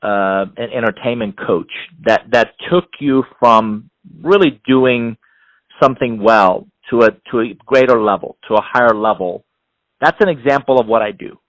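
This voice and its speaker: A middle-aged man from Iran, a non-native English speaker, giving measured, explanatory answers as an interview guest, often building his points in repeated phrases. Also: medium pitch, dry recording, dull audio. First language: not English. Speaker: interview guest, executive coach and author.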